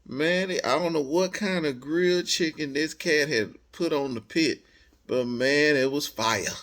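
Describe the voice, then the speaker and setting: An American man telling a personal story casually, in animated, slangy speech, acting out bits of dialogue as he goes. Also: a little high, measured pace.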